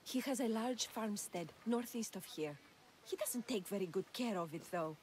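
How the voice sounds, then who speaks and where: A woman's voice: mid-range voice, lively intonation, moderate pace, a little mellow, lightly acted. An actress playing a timid, worried village woman in a video game, effusive and deferential in her manner. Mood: worried, hesitant. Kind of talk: video game cutscene acting. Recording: clear ambient noise behind.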